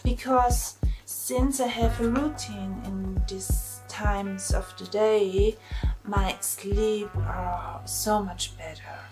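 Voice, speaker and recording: A woman's voice: medium pitch, fairly even intonation, drawn-out words, rough recording. A woman in her thirties, a native German speaker, talking hesitantly in a home vlog with fillers and careful phrasing. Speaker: vlogger. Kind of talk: first-time talking vlog. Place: bright indoor room at home. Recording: music bed under the voice.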